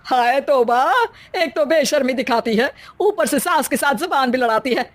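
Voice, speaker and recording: A woman's voice: high voice, normal speed, no room sound, lightly acted. A woman voicing a scandalised, traditional middle-aged mother-in-law in a cartoon moral story, scolding with exclamations.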